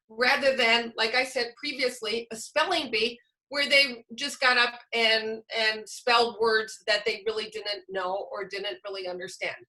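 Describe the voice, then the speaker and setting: A woman presents informally, as if training teachers. Her voice is high and bright. She speaks conversationally and with enthusiasm, without reading from a script. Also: poor audio.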